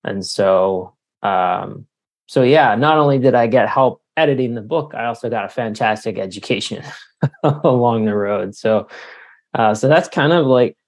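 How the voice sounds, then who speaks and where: A man in his forties giving casual, halting, self-deprecating answers as an author being interviewed. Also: mid-range voice. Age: 40s. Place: remote call.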